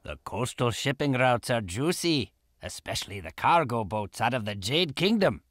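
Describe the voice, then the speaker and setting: An actor playing a roguish, sly pirate first mate in a video game, talking in a confiding, easygoing way. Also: male voice, medium pitch, big pitch swings, moderate pace, a bit bright, no room sound, studio quality. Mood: eager. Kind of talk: video game cutscene acting.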